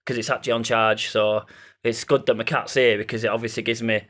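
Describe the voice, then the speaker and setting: A man's voice: mid-range voice, level tone, fast talker, a bit bright. A man giving an informal explainer as an amateur paranormal investigator, rambling and hesitant, repeating himself and swearing casually.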